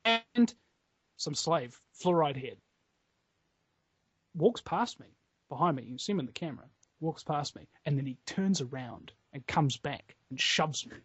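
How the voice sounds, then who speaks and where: A heated, foul-mouthed man with a New Zealand accent, talking on the high side of the male range. His voice sounds dry and close. The lo-fi recording dulls it. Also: expressive, a little slow, long pauses, fairly bright tone, husky, no room sound. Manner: heated, profane storytelling. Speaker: guest. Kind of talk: casual podcast interview.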